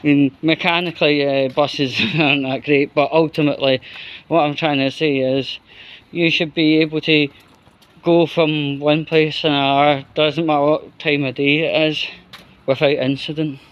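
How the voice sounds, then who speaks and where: A Scottish man giving an unscripted opinion monologue as a vlogger, in halting, broken phrases with repetition. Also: on the high side, level tone, clean tone, highs cut off.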